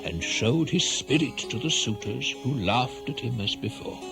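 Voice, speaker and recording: A man's voice: medium pitch, expressive, measured pace, gravelly, music in the background. A man narrating a scripted radio play as a dramatic, theatrical storyteller.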